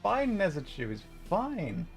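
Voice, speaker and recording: A man's voice: sits high, huge pitch swings, measured pace, vocal fry, faint music behind. A man hosting a gaming livestream, chatting casually and playfully while he comments on the game.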